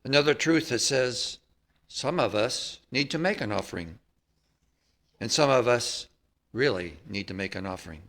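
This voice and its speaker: A man reading a prepared text at a church service, with measured, emphatic phrasing. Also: mid-range voice, lively intonation, fairly bright tone. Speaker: service reader.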